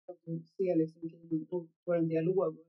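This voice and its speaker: A man's voice: fairly high pitch, level tone. Off-camera Swedish man, dull sound. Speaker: off-camera producer. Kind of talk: casual chat.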